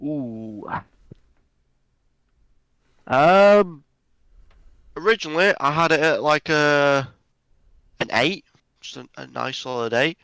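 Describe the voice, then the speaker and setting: Young guy, bright clear voice, stammers a bit, casual movie chat. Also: a little high, animated, slow pace, pauses often, dry recording. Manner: stammering restarts, enthusiastic. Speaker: co-host of a movie review show.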